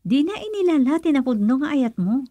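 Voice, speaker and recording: A caring young female friend with an Ilocano Filipino accent and a slightly high voice. She consoles and coaxes in radio drama style, recorded close and dry. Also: very animated, fairly quick, warm tone, dry recording. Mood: urging, scolding.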